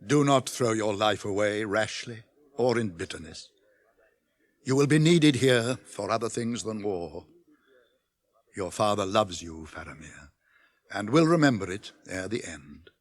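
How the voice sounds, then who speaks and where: A male actor playing an old wizard, a wise and kindly counsellor, grave and gently admonishing in 1980s radio drama. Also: fairly low pitch, lively intonation, a little slow, no room sound. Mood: tender warning.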